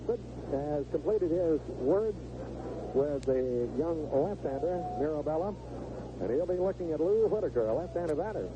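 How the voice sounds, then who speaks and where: A man doing live 1970s radio play-by-play of a baseball game, describing the action in short clipped phrases. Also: fairly high pitch. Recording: noisy, narrow-band vintage AM radio broadcast.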